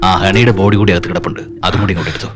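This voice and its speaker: An actor dubbing a sly, menacing gangster boss who talks mockingly and drops into English, with film music behind. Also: male voice, low voice, very fast, close to an everyday voice. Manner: mocking, toying, mixes in English. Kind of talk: dubbed film acting. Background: film score music.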